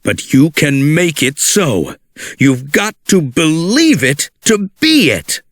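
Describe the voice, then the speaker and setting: A man's voice: a little high, very animated, dry recording, studio quality. A man doing a theatrical toy hedgehog. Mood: encouraging. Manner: measured, theatrical encouragement. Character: theatrical hedgehog toy, male, fond of drama.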